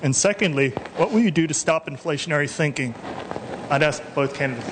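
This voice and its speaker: A man's voice: fairly high pitch, expressive, fairly quick. Distant man asking from the floor. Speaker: audience member asking a question. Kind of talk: question from the floor. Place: public forum hall. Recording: noisy room, voice from far off.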